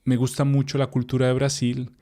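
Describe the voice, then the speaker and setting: A young man from Colombia with a Colombian Spanish accent, a Spanish teacher reading a text for learners in a relaxed, chatty way, with fillers and asides to the viewer. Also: medium pitch, brisk.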